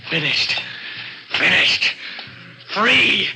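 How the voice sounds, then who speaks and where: A man's voice: high-pitched, expressive, drawn-out words, full character voice, rough recording. An actor playing a desperate, frenzied man in an old radio crime thriller. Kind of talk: old-time radio acting. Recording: old radio recording, loud dramatic music.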